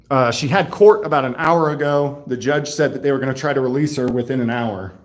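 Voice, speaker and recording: A man livestreaming from a sheriff's office lobby, talking loudly and casually and rambling with many fillers and restarts. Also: mid-range voice, moderate pace. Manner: loud voice, by his own account. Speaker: livestreaming channel host.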